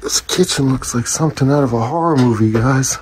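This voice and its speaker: A man walks through an abandoned building and chats to his viewers in a relaxed, easygoing way. His voice sits at a middling pitch. It is picked up by a handheld camera mic, with a faint hiss under it. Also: brisk. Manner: relaxed, talks to viewers as "guys". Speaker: urban explorer host. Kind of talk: casual handheld exploration commentary.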